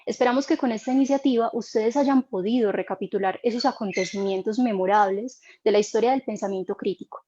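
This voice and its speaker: A woman moderating an online panel, reading a formal introduction in a measured way. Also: on the high side, warm tone, highs cut off.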